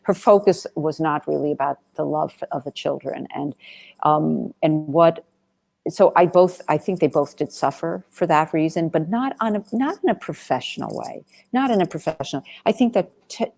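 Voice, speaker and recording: Woman director doing an interview, really deep creaky voice, dry close sound, rambles and rephrases a lot. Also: normal speed, darkish tone, no room sound. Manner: discursive, often restarts and rephrases, emphatic repetition. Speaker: film director answering questions. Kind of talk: conversational interview answers.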